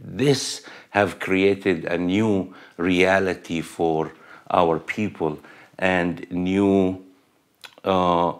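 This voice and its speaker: A man speaking in a documentary interview, halting and full of fillers. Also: low voice, steady tone.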